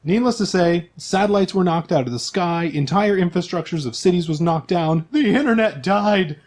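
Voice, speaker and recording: Higher-voiced man hosting a tabletop game. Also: normal speed, darkish tone. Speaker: host and game master. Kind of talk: casual explanatory narration to players and listeners.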